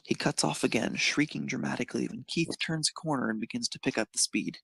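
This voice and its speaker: A man's voice: mid-range voice, fast, creaky, fairly bright tone, a little hiss or hum. A man narrating a piece of fan fiction, reading it aloud.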